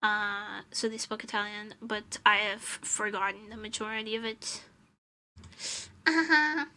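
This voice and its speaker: A woman's voice: mid-range voice, fairly even intonation, unhurried, sharp tone, low-quality recording. A young woman from Portugal chatting casually on a live stream, answering chat and laughing often.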